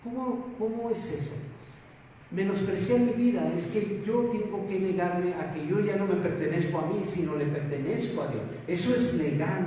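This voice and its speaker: A man with a Mexican Spanish accent preaching a sermon as pastor, asking rhetorical questions and swinging between loud emphasis and soft asides. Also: high-pitched, slight background noise. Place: church hall. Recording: echoey hall, mic far off.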